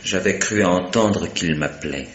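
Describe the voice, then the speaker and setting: A man's voice: sits low, a little slow, reverberant. A man acting a discreet, deferential butler with formal, servile speech in a 1950s French radio mystery. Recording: noisy old radio recording.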